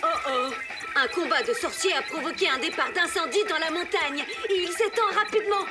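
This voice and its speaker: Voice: extremely high pitch, brisk. A woman voicing a polite, matter-of-fact robot in a children's cartoon dub. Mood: alert, informative. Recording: very loud music under the voice.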